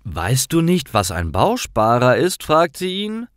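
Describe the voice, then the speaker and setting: A friendly storybook woman acted out by a male narrator, her pitch lifted above his usual voice. She explains patiently and clearly to her partner. The sound is dry and close. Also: wildly swooping pitch, a little slow, fairly bright tone, no room sound. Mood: patient, explaining.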